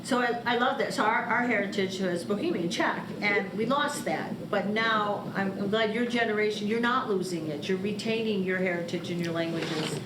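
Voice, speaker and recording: A middle-aged woman contributes to a public meeting as a board member. Her voice is mid-pitched. It rings around an echoey room, with noise clearly audible behind it. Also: normal speed, few pauses.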